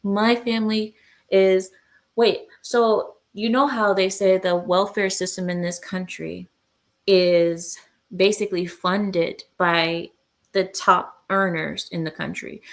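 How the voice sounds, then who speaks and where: A woman's voice: medium pitch, gravelly. A woman hosting a casual livestream, bluntly giving her opinions and replying to viewer comments. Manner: blunt and opinionated, with frequent hesitations. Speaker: livestream host.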